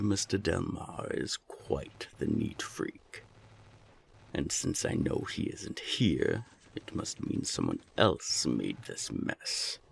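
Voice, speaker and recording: A menacing serpent boss from an audio drama, with a heavily creaking growl. He drawls slowly, toying with those he looks down on, and his tone slides up and down with contempt. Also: extremely low pitch, a little hiss or hum, exaggerated voice. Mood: quietly menacing. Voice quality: gravelly, husky. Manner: slow, taunting, deliberate. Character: menacing naga gang boss, sly and contemptuous of humans. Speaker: gang boss. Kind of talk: audio roleplay acting.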